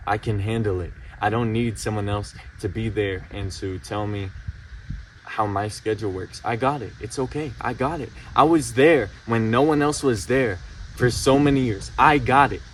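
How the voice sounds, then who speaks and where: A man's voice: fairly low pitch, moderate pace, far from the mic, a little hiss or hum, poor audio. A young man about to turn eighteen, venting his frustration in a heated, insistent way and repeating himself. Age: late teens. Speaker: vlogger. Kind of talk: emotional personal vent to camera.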